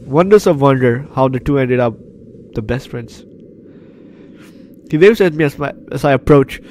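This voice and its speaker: A man's voice: medium pitch, animated, normal speed, a little mellow, slight background noise. Jokey male gamer, sick with flu. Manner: jokey, repeats phrases, banters with characters. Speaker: gaming YouTuber. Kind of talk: let's play commentary, reading game text aloud.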